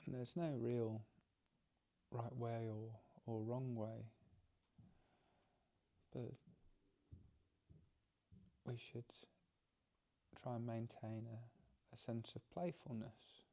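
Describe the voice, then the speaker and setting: A man guides a meditation in a soft, instructive way. His voice is relaxed and mellow, with very little pitch movement. He speaks slowly and gently, pausing often and repeating phrases as he goes. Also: vocal fry. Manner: calm, gentle, halting with frequent pauses and repeated phrases. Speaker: meditation teacher.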